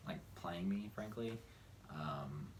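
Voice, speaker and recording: A man in his twenties with a very low voice talks informally and sincerely in a question-and-answer chat. The room is echoey, with faint noise around his voice. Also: slow. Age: 20s. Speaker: husband answering viewer questions with his wife. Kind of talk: casual couple Q&A chat.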